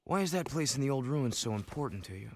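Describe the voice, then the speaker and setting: An actor playing a tough, moody young rebel hero in a video game, husky, terse and defiant. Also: male voice, mid-range voice, animated, fast talker, close to an everyday voice. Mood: challenging. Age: young adult. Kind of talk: video game cutscene acting.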